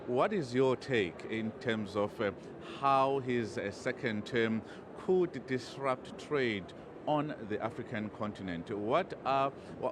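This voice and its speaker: A male business reporter interviewing a guest live, halting often with many fillers. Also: lowish voice. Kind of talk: live outdoor news interview. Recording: street noise behind.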